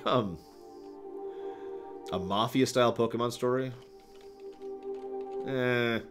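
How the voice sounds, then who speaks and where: A man's voice: medium pitch, takes it slowly, music in the background. A man hosting a casual livestream about games, rambling and thinking aloud as he talks to his chat. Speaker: livestream host answering viewer questions.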